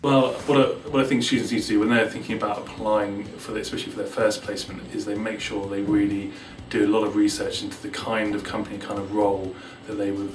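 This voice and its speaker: A man giving interview-style advice as a student placement adviser, explaining with hesitant repetitions. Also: fairly low pitch. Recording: echoey room, distant mic, music behind.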